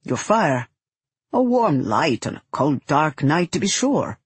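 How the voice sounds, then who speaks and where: A woman voicing a big, calm male guardian in an audiobook, pleasant and matter-of-fact with quiet menace. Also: deep voice, takes it slowly, slightly rough, no room sound, full character voice. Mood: calm, firm. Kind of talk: audiobook character voice.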